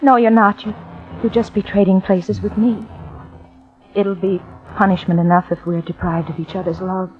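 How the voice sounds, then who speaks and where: An actress playing a devoted young wife in a prison cell, tender and pleading, in 1940s radio acting on an old dull recording. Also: female voice, medium pitch, flat delivery, normal speed, natural voice.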